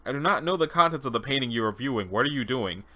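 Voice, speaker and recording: A man voicing a calm, clinical doctor who speaks formally and politely while running an experiment in a dramatized interview log. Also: mid-range voice, quick pace. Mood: calm, procedural.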